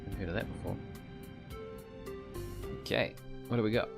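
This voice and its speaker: A man's voice: fairly low pitch, moderate pace, vocal fry. A man giving casual, laid-back live game commentary, muttering wry asides as he plays. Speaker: game streamer. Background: game music.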